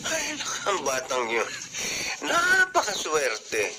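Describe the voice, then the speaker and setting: A man's voice: high-pitched, wildly swooping pitch, slow pace, noisy background, full character voice. A man playing a joking, teasing old friend. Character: joking male friend who owns a building.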